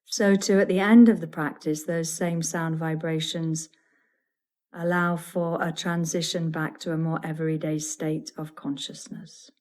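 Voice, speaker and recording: A woman leading a guided yoga nidra meditation as a teacher, soothing and deliberate, in measured phrases broken by pauses. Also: sits low, little pitch movement, a little mellow.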